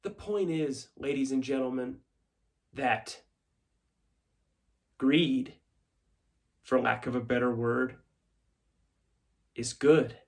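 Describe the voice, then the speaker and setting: A man playing a brash, confident corporate raider in a theatrical film monologue, giving an emphatic speech with deliberate dramatic pauses. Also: medium pitch, gravelly. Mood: measured, persuasive.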